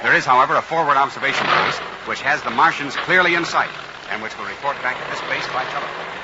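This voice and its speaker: An actor playing a solemn, tense field reporter giving a live report in a 1950s radio drama. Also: male voice, mid-range voice, fast, lightly acted. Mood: solemn tension. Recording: very noisy, dull old outdoor-scene recording.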